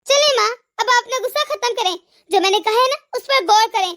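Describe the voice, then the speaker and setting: Cartoon role: a clingy, resentful young daughter with a piping, high voice, voiced by a woman. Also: a little fast, fairly bright tone. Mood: coaxing. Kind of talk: animated family drama dialogue.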